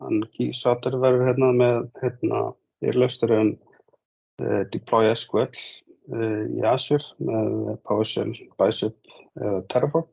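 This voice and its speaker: A man with an Icelandic accent, a native Icelandic speaker, informally hosting an online meetup and pausing hesitantly as he talks. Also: medium pitch, steady tone, creaky, warm tone, husky. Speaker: host introducing a speaker. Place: online video meeting. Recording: muffled online call audio.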